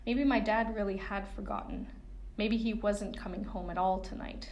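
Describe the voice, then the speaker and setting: A woman's voice: mid-range voice, steady tone, normal speed, darkish tone, a little hiss or hum. A woman reading a first-person coming-of-age novel excerpt as narrator. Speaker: reader of a book excerpt.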